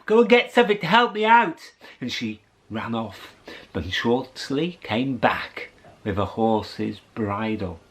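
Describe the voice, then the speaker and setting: A middle-aged man telling a children's story, dramatic, dropping to whispers and rising high for effect. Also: fairly bright tone.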